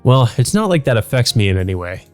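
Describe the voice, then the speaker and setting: A man's voice: medium pitch, sing-song, quick pace, a little mellow, soft background music, lightly acted. A man voicing an earnest, easygoing, domestic teenage schoolboy from a visual novel, plain and mildly wry.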